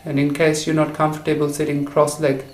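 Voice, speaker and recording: A man guiding a meditation for relaxation and sleep, speaking in short, halting phrases. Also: on the high side, completely flat delivery. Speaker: meditation guide. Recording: roomy echo with faint insect noise.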